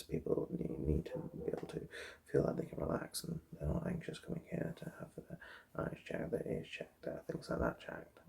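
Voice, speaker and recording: ASMR optician roleplay: hushed, very gravelly murmur sliding into whisper, hesitant and reassuring, lilting pitch. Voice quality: soft, breathy, drifting into whisper. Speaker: ASMR performer playing an optician giving an eye exam.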